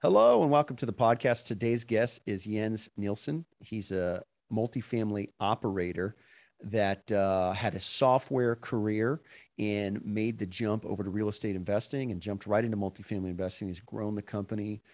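A man with a lowish voice hosts a podcast interview in a relaxed, conversational way, often pausing with fillers and restarting his phrases. The sound is dry and close, with no room around it. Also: dry recording. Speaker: podcast host. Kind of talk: casual interview chat.

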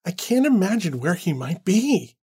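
A man voicing an anxious young woman who speaks in a pleading, shrill and indignant way. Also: sing-song, moderate pace, a little mellow, husky, full character voice. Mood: worried. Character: anxious young woman, worried then furious.

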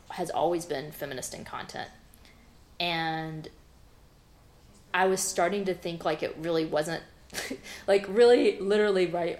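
A woman from the American South, a guest artist talking frankly in an interview and laughing at her own jokes. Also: fairly low pitch. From Southern United States (Memphis, South Georgia). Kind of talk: conversational interview.

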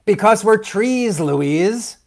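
A man's voice: on the high side, big pitch swings, takes it slowly, voice pushed up. A man voicing a rigid, exasperated young boy seed in a children's story, reluctant and matter-of-fact. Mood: insistent.

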